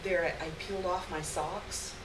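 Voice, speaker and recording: A woman giving an expressive live reading of a short story on stage. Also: lowish voice, normal speed. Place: indoor stage reading before a live audience. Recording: echoey, noisy live room, distant mic.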